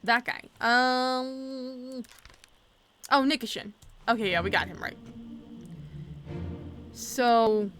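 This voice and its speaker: A woman's voice: on the high side, fairly even intonation, slow pace, pauses often, a bit bright, faint music behind. A young woman casually commenting as she plays a mystery game, thinking aloud and working through her guesses. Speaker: let's play streamer.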